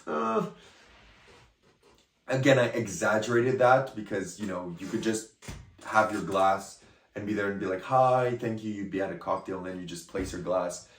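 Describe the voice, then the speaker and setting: A man with a hoarse, partly lost voice, giving a chatty, rambling review of a magic trick and often checking in with the viewer. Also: lowish voice, animated, moderate pace, slight background noise. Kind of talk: casual product review to camera. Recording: echoey room, a bit far from the mic.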